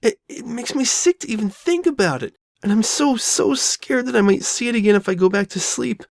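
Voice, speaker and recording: A woman's voice: fairly high pitch, lively intonation, gravelly, no room sound, voice pushed up. A woman voicing a frightened, guilt-ridden young female pony who stammers and doubts herself in an audio drama. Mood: anguished. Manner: stammering, halting, anxious. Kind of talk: all-dialogue fan audio drama.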